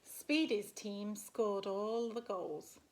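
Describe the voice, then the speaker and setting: A woman reading a picture book aloud as a primary teacher would, expressively and with little asides to young listeners. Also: medium pitch. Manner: animated, slow and deliberate storytelling, gasps and murmurs for effect.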